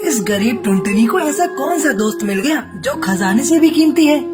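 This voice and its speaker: Woman doing a jealous cartoon bird guy, snide and scheming, high voice, music under it. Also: expressive, normal speed. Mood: suspicious, curious. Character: jealous, spiteful scheming male bird, crow or parrot. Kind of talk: children's cartoon story acting.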